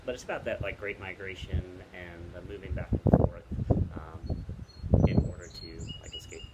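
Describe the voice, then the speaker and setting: A man casually recommending books outdoors, halting and hesitant as he pauses to think. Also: low-pitched, steady tone. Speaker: book recommender, teacher. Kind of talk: casual book recommendation chat. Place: outdoors in the wind. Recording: very loud wind noise, clicks, outdoor video.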